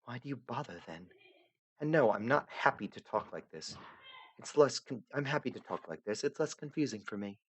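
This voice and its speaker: A man voicing a polite, soft-spoken young troll girl who is lost and lonely, speaking in a hushed, earnest way. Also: mid-range voice, lively intonation, normal speed, husky, pitched above the performer's own voice. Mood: polite, puzzled.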